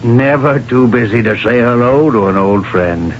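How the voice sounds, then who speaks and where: An actor playing a jovial but evasive middle-aged construction boss who blusters and stammers through fillers, in a 1970s radio drama. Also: male voice, mid-range voice, normal speed, natural voice. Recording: dull 1970s radio broadcast with faint hiss.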